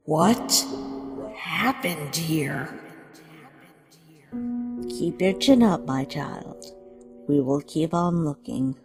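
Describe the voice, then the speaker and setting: Motherly monster guardian: stern, protective, very slow and formal delivery, lively pitch movement, cartoon dub. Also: exaggerated voice. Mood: gentle, reassuring. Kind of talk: animated comic dub voice acting. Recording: roomy, long reverb, music behind. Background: music.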